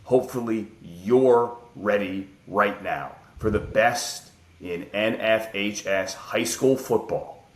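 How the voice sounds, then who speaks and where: Man, low voice, dramatic scripted promo read, punchy short lines, echoey room. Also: slow. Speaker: intro announcer. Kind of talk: hyped scripted promo intro.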